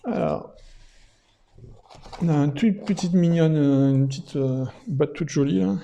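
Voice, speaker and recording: A man with a slightly high-set voice that is nonetheless very mellow and dark in tone. He talks through an unboxing casually, feeling his way as he goes, with a creaky edge to the words. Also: a little slow, highs cut off. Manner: hesitant, thinking aloud. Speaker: product reviewer presenting an unboxing. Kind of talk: casual unscripted unboxing commentary.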